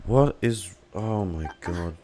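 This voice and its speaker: Low voiced guy streamer, slow and sweary game reactions, exasperated, some noise behind. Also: lively intonation, warm tone. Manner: exasperated, sweary, muttering asides. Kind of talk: casual live gameplay reaction commentary.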